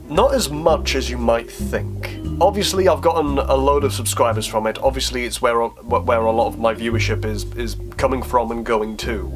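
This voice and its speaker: A man with a mid-pitched voice chats informally as a video creator taking questions. He thinks aloud and speaks in a self-deprecating, conversational way. Music plays clearly behind him. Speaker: YouTube creator answering viewer questions. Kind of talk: casual Q&A vlog talk.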